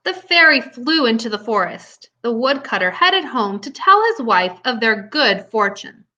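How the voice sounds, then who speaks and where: High, bright woman narrating for children. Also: big pitch swings, measured pace. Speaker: teacher, host and narrator. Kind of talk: scripted storybook narration for children. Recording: dull lo-fi recording.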